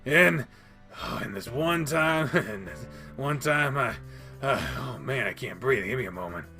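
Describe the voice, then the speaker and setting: A man doing an excitable furry gamer guy. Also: mid-range voice, a little slow, soft background music. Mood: giggly. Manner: giggly, boastful storytelling. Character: excitable young male animal-person gamer.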